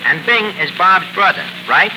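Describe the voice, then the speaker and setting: A man's voice: high voice, animated, moderate pace. An actor playing a bumbling, cocky male tavern manager with a New York accent, wisecracking and mangling words in a 1940s radio comedy. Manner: wisecracking, self-important malapropisms. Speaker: comedy show lead. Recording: noisy 1940s radio broadcast recording.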